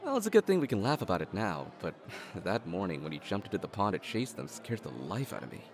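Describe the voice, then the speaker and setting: A wry tycoon's son in an audio drama. His voice is on the low side and animated, full of dry understatement, with soft music behind. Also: fast talker. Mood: wry, amused. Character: wry, easygoing adult elder brother, tycoon's son. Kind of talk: naturalistic audio drama acting.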